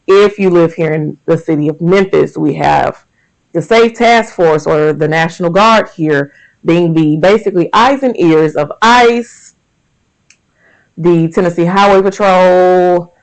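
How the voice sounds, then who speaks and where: A woman's voice: fairly low pitch, low-quality recording. A woman hosting a podcast, talking candidly and emphatically straight to her listeners about faith and everyday pressures. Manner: candid, emphatic, conversational, addresses listeners directly. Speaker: podcast host. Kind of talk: candid faith and wellness monologue.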